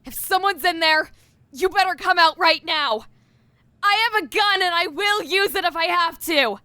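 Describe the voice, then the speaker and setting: A frightened young woman in an audio drama, challenging and loud. Her voice is very high and forceful, with a close, dry sound as she tries to sound tough. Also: moderate pace, no room sound. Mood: scared, defiant. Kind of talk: dramatic audio fiction acting.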